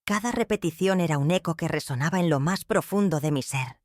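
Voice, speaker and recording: A woman reading a first-person dramatic story in a measured, somber way. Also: low voice, darkish tone, no room sound, studio quality.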